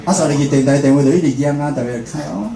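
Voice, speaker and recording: Taiwanese guy giving a casual talk, mellow voice, echoey room, explains stuff and keeps checking with listeners. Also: fairly high pitch, very fast, gravelly. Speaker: radio host and station director giving a talk. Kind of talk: informal public lecture. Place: indoor room at a public talk. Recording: roomy talk venue with faint noise.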